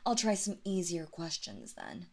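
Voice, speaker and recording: An aristocratic vampire mistress, performed by a woman for a roleplay audio drama. She talks very slowly in a slightly low register, every phrase formal and measured. Her manner is condescending and quietly threatening, often sinking into a breathy whisper. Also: wildly swooping pitch, heavily acted. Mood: patronizing. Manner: slow, deliberate, formal, condescending. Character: imperious female vampire mistress, coolly menacing aristocrat.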